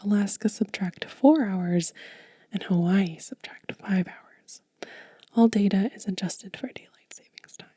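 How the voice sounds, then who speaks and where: A woman speaks in a very mellow, breathy whisper, as an ASMR host would. Her delivery is gentle and slow, explaining things in a hushed, unhurried way. The sound is close to the microphone. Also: mid-range voice, no echo. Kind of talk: soft-spoken whispered ASMR, reading a guide aloud.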